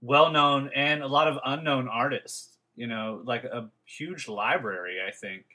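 A man's voice: mid-range voice, measured pace, pushed and bright. A young man hosting a podcast, chatting casually and rambling with many fillers and restarts. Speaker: podcast host. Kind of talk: casual interview chat.

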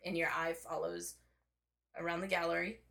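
Gravelly, bright-toned chatty woman. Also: fairly low pitch, fairly even intonation, normal speed. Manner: chatty, encouraging, playful. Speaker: guest, painter. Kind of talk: casual podcast chat. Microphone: single shared podcast mic.

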